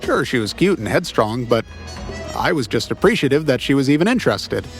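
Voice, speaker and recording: A man playing an awkward, self-deprecating ex-cop private eye in a noir comedy audio drama, wry and halting as he banters. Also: mid-range voice, big pitch swings, faint music behind. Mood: sheepish, wry.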